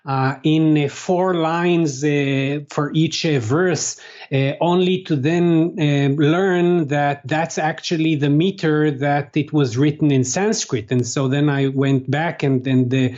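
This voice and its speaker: A man whose first language is Hebrew, answering interview questions as a translator, pausing often with fillers and restarts. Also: a little high, steady tone, darkish tone, highs cut off. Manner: halting, many fillers and restarts. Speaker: guest, translator.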